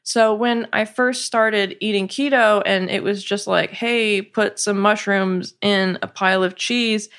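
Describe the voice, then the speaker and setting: A woman guest talking casually in an interview, chatty and quick to laugh, with plenty of fillers. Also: medium pitch, fairly even intonation, a bit bright. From United States, partly raised in South Korea. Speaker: podcast guest, cookbook coauthor.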